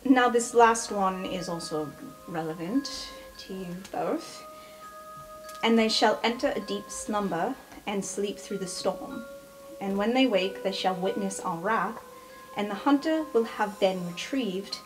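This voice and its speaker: A woman's voice: medium pitch, unhurried, lightly acted, low-quality recording. Confident young female student played by a woman, clever and insistent explainer, fantasy tabletop game, prominent music bed. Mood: solemn, assured. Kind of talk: improvised tabletop roleplay.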